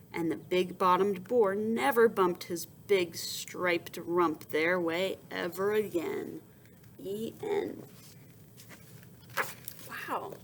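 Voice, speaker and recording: A woman reading a children's storybook aloud in an expressive, dramatic storytelling manner. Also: on the low side, slight background noise. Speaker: storybook reader.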